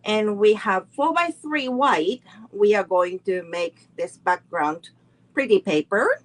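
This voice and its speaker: Very slow-talking woman teaching card making. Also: medium pitch, animated, low-quality recording. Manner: slow, unhurried, greets viewers and chats between steps. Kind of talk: live online craft class.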